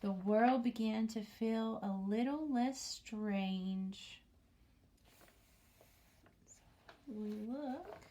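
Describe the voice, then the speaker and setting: A woman teacher reading a picture book aloud to young children, commenting and asking questions, often dropping into a hushed near-whisper. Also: on the high side. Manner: asks the listening children questions.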